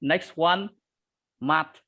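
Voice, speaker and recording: A man's voice: fairly high pitch, very slow, pushed and bright. A man in his early twenties with a Vietnamese accent, a native Vietnamese speaker, casually hosting a group podcast conversation. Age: early 20s. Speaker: podcast host. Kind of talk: casual group talk moderating.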